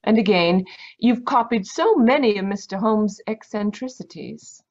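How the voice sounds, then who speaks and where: A woman playing a genteel, affectionate, gently chiding Victorian doctor's wife in an old-time radio mystery. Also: medium pitch, lively intonation, a little slow. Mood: fond reproach. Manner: polite, fond, proper turns of phrase. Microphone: video call. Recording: dull, muffled video-call audio.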